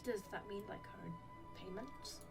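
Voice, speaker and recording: A woman's voice: medium pitch, measured pace, gravelly. A woman giving casual reaction commentary as she plays a video game. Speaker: game streamer. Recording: distant mic, game music behind. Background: video game music and dialogue.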